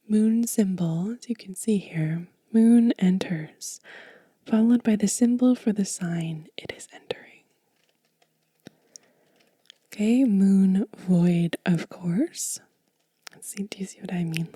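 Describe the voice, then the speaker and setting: A woman hosting soft-spoken ASMR, gently reading and explaining in a hushed, breathy whisper close to the microphone. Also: mid-range voice, no echo. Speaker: ASMR host.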